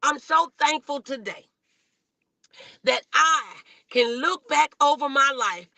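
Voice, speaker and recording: Woman, American accent, motivational sermon, high voice, intensely bright, exhorting, dry recording. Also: slow, gravelly, no room sound. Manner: exhorting, calling on listeners with repeated rhetorical questions. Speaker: host and minister preaching morning motivation. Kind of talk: motivational sermon to an online audience.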